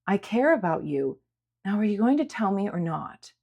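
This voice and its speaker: A woman voicing a caring, patient woman who reassures with gentle insistence in a dramatic audiobook. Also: medium pitch, sing-song, normal speed. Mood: soothing. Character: caring, patient woman comforting an upset girl. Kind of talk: dramatic audiobook character acting.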